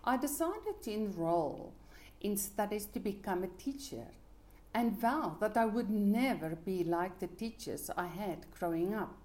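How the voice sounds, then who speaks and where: Woman, middle-aged, Afrikaans first language, soft dark tone, earnest motivational talk. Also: medium pitch. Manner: earnest, measured, with small restarts. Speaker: psychologist giving a motivational talk.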